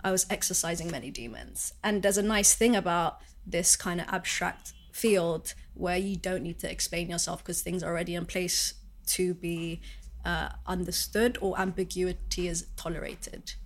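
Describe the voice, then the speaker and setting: Low, gravelly-voiced woman artist. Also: fairly even intonation. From born in Malaysia, raised in Sharjah, then London from six. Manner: searching, halting speech with many fillers and restarts. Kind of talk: reflective interview talk.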